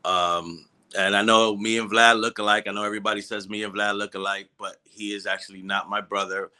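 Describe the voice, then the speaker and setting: A man's voice: lowish voice, level tone, a little fast, sharp tone. A man with a New York accent talking loosely in an interview, with many hesitations and plenty of slang. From New York City, Washington Heights. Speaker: guest, cannabis business co-founder. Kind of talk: casual interview chat.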